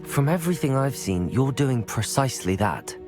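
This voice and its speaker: A man's voice: mid-range voice, lively intonation, moderate pace, a little mellow, natural voice. A considerate young student of magic from a fantasy game, voiced by a man. He sounds earnest and polite, with very varied intonation, over background music. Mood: sympathetic. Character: supportive, brave young student at magic school. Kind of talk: video game cutscene acting.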